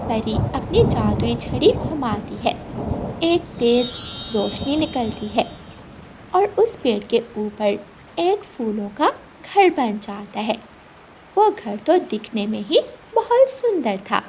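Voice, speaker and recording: A woman's voice: a little high, big pitch swings, slow pace, background noise. A woman storyteller giving scripted narration for a children's cartoon. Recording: loud rain and thunder behind.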